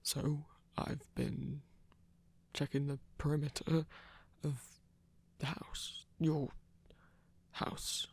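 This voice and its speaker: A performer playing a flustered, protective hunter who stammers haltingly in a breathy, intimate ASMR roleplay. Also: mid-range voice, heavily acted. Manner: halting, stammering, awkward, sighing.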